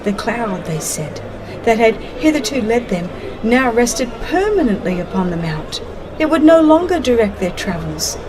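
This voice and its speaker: A woman reads a religious history as a dramatized audiobook, in a solemn, measured manner with deliberate phrasing. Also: on the high side, big pitch swings, crowd noise. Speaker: audiobook narrator. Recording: music under the voice. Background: background music.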